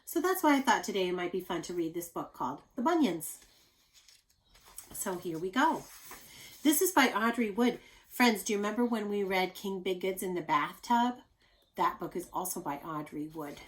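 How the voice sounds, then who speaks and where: A woman teacher reading a children's story aloud, explaining things simply and speaking directly to young listeners with expressive emphasis. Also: medium pitch, normal speed, low-quality recording.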